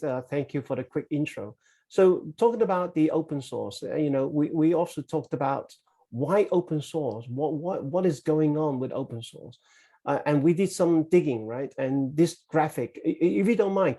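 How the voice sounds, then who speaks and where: This man hosts a business webinar and pauses often with hesitant fillers. His voice is slightly high-pitched and mellow. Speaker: webinar host. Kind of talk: hosting a webinar interview.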